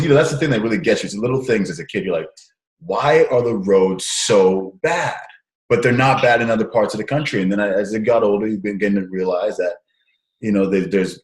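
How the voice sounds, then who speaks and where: A man in his thirties talking in a casual interview over a call, rambling with restarts and growing animated as he tells stories. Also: sits low, echoey room, rough recording. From Nigeria and Canada, raised partly in the US. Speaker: guest, social impact entrepreneur. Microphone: call recording.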